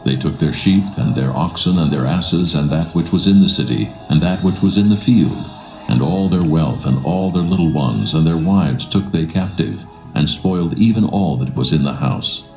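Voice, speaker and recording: A man narrating scripture in a dramatised reading, solemn and measured. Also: extremely low pitch, animated. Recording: roomy echo, soft music behind.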